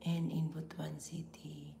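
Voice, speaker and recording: A middle-aged woman with a Filipino accent, hoarse from laryngitis, hosting a casual live stream from home with slow, half-whispered greetings. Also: deep voice, little pitch movement, warm tone, roomy sound, a little hiss or hum. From Philippines. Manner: breathy, half-whispered, slow with frequent pauses and throat clearing. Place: small home office room indoors.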